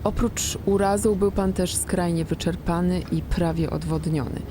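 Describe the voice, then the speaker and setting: An actress playing a brisk, matter-of-fact hospital medic in a naturalistic radio drama, with a clinical, direct manner. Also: female voice, lowish voice, fairly even intonation, noisy background, lightly acted. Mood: professional, calm.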